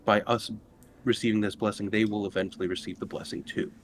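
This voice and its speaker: A man's voice: on the low side, fairly even intonation, fast talker, slight background noise. A man playing a tabletop roleplaying game, moving between casual game talk and speaking for his character.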